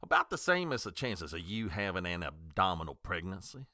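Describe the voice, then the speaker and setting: An actor playing a defensive small-town doctor who curtly refuses a detective's questions in a radio drama. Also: male voice, sits low, big pitch swings, a little fast, bright, forceful tone, dry recording, clean studio sound, exaggerated voice. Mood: indignant. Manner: curt and indignant.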